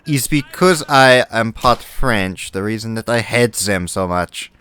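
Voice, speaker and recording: A man's voice: lowish voice, expressive, measured pace, pushed and bright, smooth. A man playing a formal, French-hating German sergeant with a put-on German accent during a game. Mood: haughty. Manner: stiff formal speech, no contractions. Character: stern male German sergeant, gravely formal, hates the French. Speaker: game player. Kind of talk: in-character roleplay while gaming.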